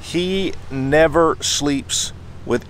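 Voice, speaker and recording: A man's voice: a little high, extremely slow, a bit bright, slight background noise. A young man talking to a handheld camera at arm's length outdoors, giving enthusiastic tour-guide chatter about the coast. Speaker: vlogger. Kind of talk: casual travel vlog narration. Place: outdoors on a wooded coastal trail.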